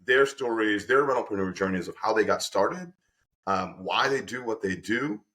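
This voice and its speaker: An enthusiastic male podcast host with a lowish voice talks warmly and eagerly. He often stumbles and restarts, piling up praise as he introduces his guest. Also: normal speed. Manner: energetic, restarts often, effusive. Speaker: podcast host and company representative. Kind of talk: enthusiastic interview hosting.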